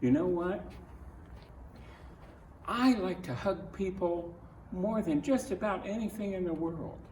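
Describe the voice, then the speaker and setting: A man from Ohio with an American accent reads a picture book aloud to children, adding his own earnest, gentle comments in halting phrases. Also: high-pitched, lively intonation. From Ohio, United States. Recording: roomy echo, distant mic, faint noise.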